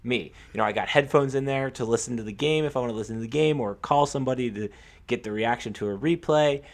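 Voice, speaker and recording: A young American man co-hosting a podcast, talking casually about sports with a halting, repetitive storytelling manner. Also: medium pitch. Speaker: podcast co-host.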